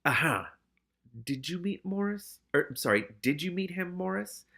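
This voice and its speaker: A man's voice: high-pitched, animated, moderate pace, vocal fry, bright, forceful tone, slightly rough, pitched above the performer's own voice, full character voice. A man voicing a female rat who is coolly accusing and asks pointed questions in a storybook. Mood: cool accusation.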